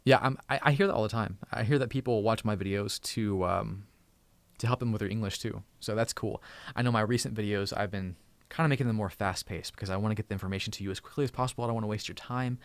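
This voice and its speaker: A man's voice: fairly low pitch, fast talker, no room sound. A man in his thirties hosting a tech channel live stream from home, chatting casually and thankfully with viewers, often restarting and repeating words. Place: home room.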